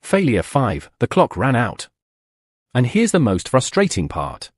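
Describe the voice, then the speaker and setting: A man's voice: mid-range voice, wildly swooping pitch, a little slow, breathy edge, no echo, clean studio sound. A man giving scripted documentary narration for a history explainer, dramatic and punchy, with plenty of rhetorical questions.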